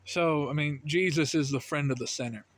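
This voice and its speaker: A man's voice: fairly high pitch, normal speed. Earnest American man rambling about faith. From United States. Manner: earnest, rambling, heavy with "you know" and "I mean" fillers. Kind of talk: casual religious commentary.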